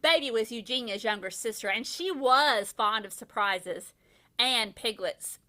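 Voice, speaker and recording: A woman reading a children's bedtime story aloud like a first grade teacher, with expressive, deliberate storytelling. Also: a little high.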